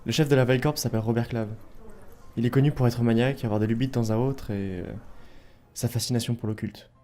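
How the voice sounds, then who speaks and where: A man's voice: medium pitch, steady tone, fast, mellow, lightly acted. A man playing a practical, determined adventurer in a fantasy audio drama, matter-of-fact in delivery. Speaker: voice actor in an amateur audio fiction.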